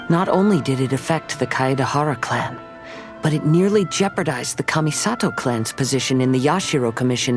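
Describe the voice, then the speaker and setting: A young wandering samurai played by a man talks in a gentle, reserved, mid-pitched voice. His delivery is formal and reflective, video game dialogue over clear background music. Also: lightly acted.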